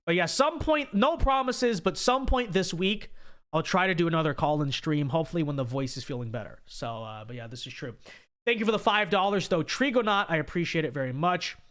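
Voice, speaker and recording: A man's voice: fairly high pitch, expressive, a little fast, a bit bright, clear, resonant tone. A young man hosting a livestream, giving opinionated commentary with many restarts and reading viewer super chats, his voice scratchy and sore. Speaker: livestream host.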